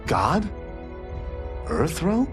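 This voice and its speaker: A man's voice: a little high, wildly swooping pitch, drawn-out words, full character voice. An actor playing a cocky, eager young male fighter with a brash manner in a video game cutscene. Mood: skeptical. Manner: brash, questioning. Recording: loud cinematic music behind.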